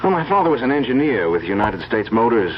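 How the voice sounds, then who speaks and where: An actor playing a polite young engineer and new husband, courteous in manner, in a radio drama. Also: male voice, medium pitch, big pitch swings, quick pace, close to an everyday voice. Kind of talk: 1970s radio drama acting. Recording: dull lo-fi old radio broadcast, faint noise.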